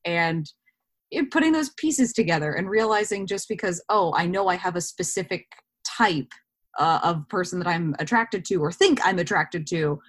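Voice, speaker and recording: Young woman, kinda low voice, chatty and reflective, thinking out loud in a casual interview. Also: animated, normal speed. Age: 20s. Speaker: actor, interview guest.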